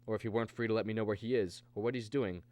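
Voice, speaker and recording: An actor playing an anxious, insistent man in a radio drama, both narrating and speaking halting, repetitive lines. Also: on the low side, fairly even intonation, a little mellow, no echo, natural voice. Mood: agitated, insistent. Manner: halting, repeats words when agitated. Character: anxious, insistent man searching for his missing brother. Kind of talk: radio drama acting with first-person narration.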